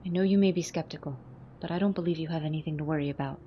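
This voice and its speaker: A woman acting a brisk, low-voiced team leader. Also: lo-fi sound, a little hiss or hum, close to an everyday voice. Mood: reassuring. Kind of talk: audio drama acting.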